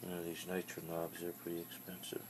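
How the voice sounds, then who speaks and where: Low, monotone man giving a casual how-to. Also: noisy background. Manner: plainspoken, halting, matter-of-fact. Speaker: hobbyist demonstrating a radio repair. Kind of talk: casual unscripted how-to narration.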